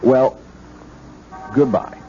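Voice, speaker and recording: Young policeman, folksy, hesitant, politely nosy, mellow tone, 1970s radio drama, noisy muffled tape. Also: drawn-out words. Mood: slyly casual. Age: young adult. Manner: hesitant, rambling, disarmingly apologetic. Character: young eager cop, folksy, politely persistent snoop.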